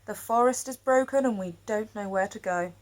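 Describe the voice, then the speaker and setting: A woman voicing a gentle, frightened male wolf in a storybook, speaking softly and pleadingly. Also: mid-range voice, moderate pace, lightly acted. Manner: soft pleading.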